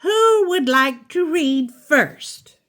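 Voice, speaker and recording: Storybook schoolteacher, played by a woman reader: kind, encouraging, unhurried, very melodic delivery. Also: high voice, animated, voice pushed up, full character voice. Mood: bright, encouraging. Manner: gentle, slow, encouraging, teacherly. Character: kind, gentle woman schoolteacher in a picture book.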